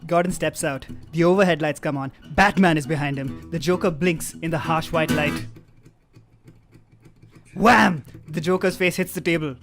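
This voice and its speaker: A man narrating stage directions from a script in a deadpan, wry way, with comic asides. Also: fairly high pitch, normal speed. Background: live guitar music.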